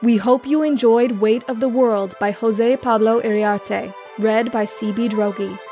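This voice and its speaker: A woman's voice: fairly high pitch, steady tone, a little slow, dark tone. A woman reading a scripted outro announcement as the announcer of a story podcast. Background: music.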